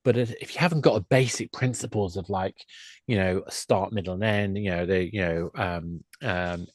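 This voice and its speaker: A man's voice: sits low, moderate pace, no echo. A man speaking as an expert guest in a discussion, explaining his points in a discursive way. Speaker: guest, games industry expert. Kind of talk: discussion of game storytelling.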